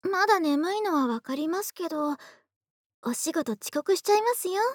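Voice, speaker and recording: Actress playing a doting young woman next door, very high-pitched, soft and polite, intimate ASMR drama, close dry recording. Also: expressive, moderate pace, no room sound. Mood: gentle, cheerful. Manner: soft, gentle, polite, intimate murmuring with breathy whispers. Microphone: close dry studio microphone.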